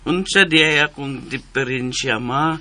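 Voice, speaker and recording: An actor in a radio drama playing a slow-minded, childlike, eager young man who stammers and labors over his words. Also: medium pitch, pushed and bright. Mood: pleading, confused. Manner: halting, repeating syllables.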